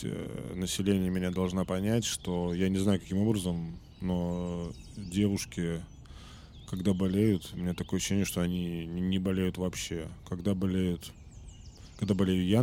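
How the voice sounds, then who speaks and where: A man hosting a podcast, telling a story in a casual, rambling way with many fillers, while slightly sick and clearing his throat now and then. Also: deep voice, warm tone, a little hiss or hum. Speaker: podcast host.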